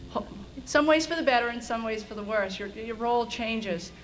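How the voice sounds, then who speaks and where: A woman's voice: fairly high pitch, moderate pace. A middle-aged American woman, a school administrator, giving an informal, conversational commencement speech with a self-deprecating streak. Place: on stage at high school graduation. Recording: noisy event video with room ambience.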